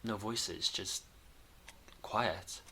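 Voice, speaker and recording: A man acting a shaken, wary survivor in an audio drama. His gravelly voice comes out extremely slowly and hesitantly. Faint noise sits behind it. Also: fairly low pitch, steady tone, bright, forceful tone, full character voice. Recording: noticeable faint background noise.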